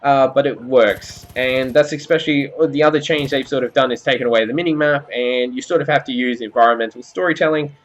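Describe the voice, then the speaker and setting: A man's voice: mid-range voice, a little fast, hardly any pauses. A man giving a conversational, explanatory video game review as commentary over gameplay.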